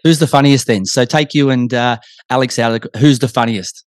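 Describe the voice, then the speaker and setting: A man's voice: mid-range voice, moderate pace, no room sound, studio quality. A man hosting a casual sports chat, putting questions to his guest as interviewer. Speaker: interview host.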